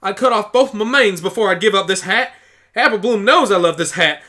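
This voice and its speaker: Guy doing a southern country girl pony, folksy n blunt, pitched up, bright voice, pleading big sister type. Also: animated, a little fast. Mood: upset, insistent. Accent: Southern US country drawl, put on. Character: Applejack, worried, stubborn country mare pony, protective big sister. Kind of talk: amateur audio drama acting.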